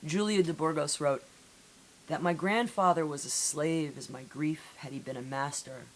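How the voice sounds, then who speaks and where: A woman reading poetry aloud in a solemn, deliberate way with measured phrasing and dramatic pauses, on an amateur recording. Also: low voice, fairly even intonation. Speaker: poetry reader. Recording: amateur recording with audible hiss.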